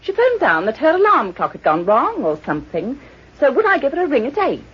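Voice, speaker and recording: An actress playing a chirpy, obliging hotel receptionist in an old-time radio drama, eager to please. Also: female voice, sits high, wildly swooping pitch, a little fast. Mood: chatty, obliging. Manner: sing-song, eager to please. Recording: dull, muffled old radio recording.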